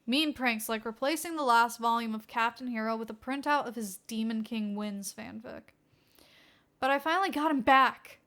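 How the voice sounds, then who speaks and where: Woman voicing younger brother, smug, petty, dramatic, high bright voice, audio story. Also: normal speed.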